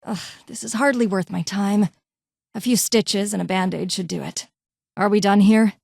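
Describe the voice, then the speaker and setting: A woman's voice: medium pitch, steady tone, normal speed, gravelly, darkish tone, no echo, studio quality. A woman voicing a brusque, condescending vault doctor and scientist in a video game, with clipped, formal, haughty delivery. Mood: impatient. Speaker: voice actor in a game mod. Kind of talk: scripted game character dialogue.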